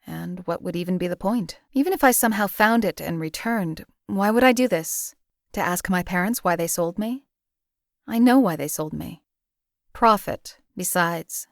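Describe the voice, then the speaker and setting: A woman voicing a cold, emotionless wasteland assassin for a video game, with a detached, deadpan, formal and articulate delivery. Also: lowish voice, dry recording, studio quality, close to an everyday voice. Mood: dismissive, reflective. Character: cold, emotionless female assassin for hire in a post-apocalyptic wasteland. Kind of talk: scripted video game character dialogue.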